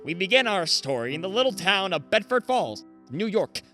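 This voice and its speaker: Man playing a high, showy 1940s radio announcer. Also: very animated, normal speed, pushed and bright, soft background music, full character voice. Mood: grand, welcoming. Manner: grand, showy, projected announcing. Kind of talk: 1940s live radio play acting.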